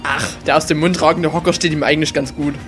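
A man playing an excitable, cheeky young hero in an amateur German audio drama. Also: a little high, fast talker, faint music behind, lightly acted. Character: excitable cheeky young hero bantering with his friends in battle.

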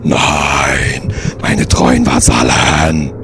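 A man playing a huge, gluttonous, menacing villain boss with a gravelly voice in an amateur audio drama. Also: a bass voice, steady tone, very slow, over music, exaggerated voice. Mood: angry.

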